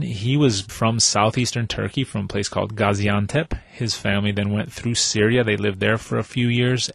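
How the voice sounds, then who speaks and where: A man's voice: on the low side, gravelly, no echo. A man in his sixties from the United States, a guest telling his family history in an easygoing, plain-spoken way. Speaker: guest activist. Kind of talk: conversational interview.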